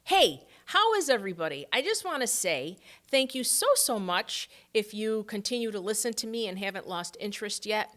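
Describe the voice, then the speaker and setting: A middle-aged woman hosting a spiritual podcast, talking earnestly and heartfelt, dropping to a soft hush on reflective passages. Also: medium pitch, very animated, moderate pace, hardly any pauses. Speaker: podcast host.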